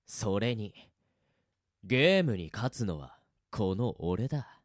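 A man acting in an audio drama as a cocky veteran death-game player who teases his rivals in rough, casual speech. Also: fairly low pitch, very animated, takes it slowly, no room sound, studio quality. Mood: taunting.

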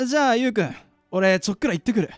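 A man's voice: high voice, animated, racing. A man playing an impulsive, sensitive young man with colloquial, emotive speech in a radio drama. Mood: brash. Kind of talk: amateur radio drama acting. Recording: echoing reverb, music underneath.

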